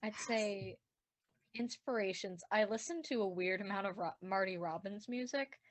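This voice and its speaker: A woman's voice: medium pitch, moderate pace, dry recording. Female podcast creator for casual post-show discussion; rambling explainer, mid-range voice, very dry recording. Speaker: podcast writer and director.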